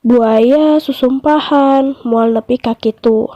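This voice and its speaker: A woman's voice: high voice, slow, no room sound, dull audio. A woman with a Sundanese accent, a primary school teacher giving a lesson and narrating stories for children.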